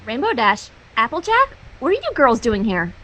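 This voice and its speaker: Woman voicing a flustered, high-pitched bookish unicorn mare. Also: huge pitch swings, sharp tone, a little hiss or hum, heavily acted, poor audio. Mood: puzzled. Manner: flustered, halting, over-innocent. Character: nervous, bookish young unicorn mare, evasive.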